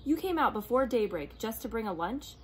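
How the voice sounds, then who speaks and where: A woman's voice: fairly high pitch, big pitch swings, a little fast, slight background noise. Woman doing a barking scornful soldier. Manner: barking, contemptuous. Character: harsh, scornful male soldier.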